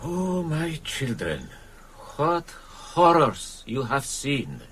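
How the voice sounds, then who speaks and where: An actor playing a compassionate priest in a radio drama, gentle and grave. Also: male voice, medium pitch, huge pitch swings, extremely slow, soft background music, heavily acted. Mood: shocked sorrow.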